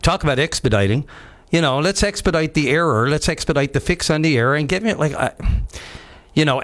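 A man hosting a call-in radio show, earnest and halting, with lots of "you know" and restarts. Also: on the high side, fairly bright tone. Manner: earnest, halting, with many fillers. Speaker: radio host.

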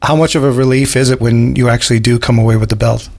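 A man's voice: medium pitch, fairly even intonation, fast talker, gravelly, darkish tone. Morning radio host, man, friendly chatty interviewer, mid-range voice.

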